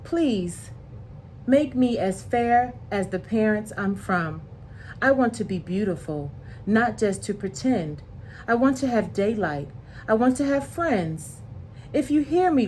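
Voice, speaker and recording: A woman voicing a sad, earnest little girl from a storybook, pleading in a measured prayer. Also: mid-range voice, darkish tone, slight background noise, low-quality recording.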